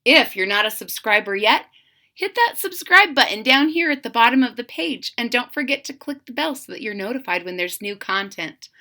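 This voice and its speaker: A woman reading a picture book aloud in a theatrical, drawn-out way, coaxing young listeners with questions and explanations. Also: a little high. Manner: animated, theatrical, drawn-out emphasis; coaxing, explaining asides to children. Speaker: children's story reader and channel host.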